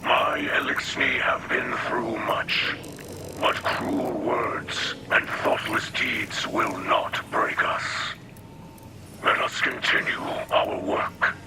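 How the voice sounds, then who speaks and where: An actor playing a solemn, weary but hopeful alien leader in a video game, with a breathy, rasping whisper and formal, halting phrases. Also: male voice, medium pitch, fairly even intonation, very noisy, natural voice. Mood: grave, resolute. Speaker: leader and mentor. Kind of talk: video game cutscene acting.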